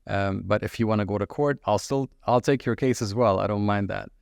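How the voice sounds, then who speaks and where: Low gravelly guy from the uae, lawyer talking it through with lots of uhs, dry close sound. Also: no room sound. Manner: measured, with frequent fillers and restarts. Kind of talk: podcast interview answers.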